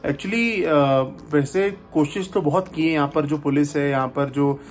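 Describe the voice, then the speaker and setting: A man in his thirties vlogging about a road trip, talking to a phone held at arm's length and pausing often with "uh". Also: on the high side, soft background music. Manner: chatty, with frequent "uh" pauses. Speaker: travel vlogger. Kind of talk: casual vlog narration to camera. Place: hotel room, indoors.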